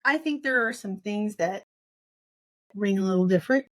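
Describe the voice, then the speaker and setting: Female co-host, conversational and opinionated, medium pitch, dry studio-like sound. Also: lively intonation, moderate pace, no room sound. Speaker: book podcast co-host. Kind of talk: casual book discussion.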